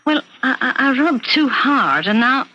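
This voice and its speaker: Flustered, bright-voiced household cook. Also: female voice, high-pitched, sing-song, measured pace, exaggerated voice. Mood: flustered, apologetic. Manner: rambling, stammering, folksy. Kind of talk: old-time radio mystery acting. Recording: muffled, faintly noisy radio drama recording.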